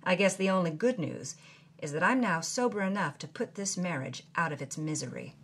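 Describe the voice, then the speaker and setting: Actress as wry, husky young starlet, animated pitch, drama narration. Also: female voice, brisk. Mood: exasperated. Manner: wry, dramatic storytelling with period slang. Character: brassy young actress narrating her journal. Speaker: lead character narrating from her journal. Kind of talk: scripted audio drama narration.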